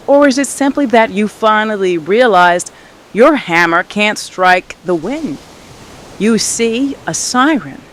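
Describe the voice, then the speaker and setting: Slow, knowing siren of the sea voiced by a woman. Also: mid-range voice, slight background noise. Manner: deliberate, probing, theatrical. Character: alluring, knowing, challenging female siren of the sea. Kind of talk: mythic fiction audio drama acting.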